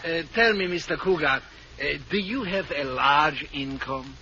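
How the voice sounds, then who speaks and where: An actor playing a zany, pompous male psychiatrist in a 1940s radio comedy, with a jokey, drawn-out delivery. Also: fairly high pitch, expressive, sharp tone. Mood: mock-serious. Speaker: comic psychiatrist. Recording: dull, noisy old radio broadcast.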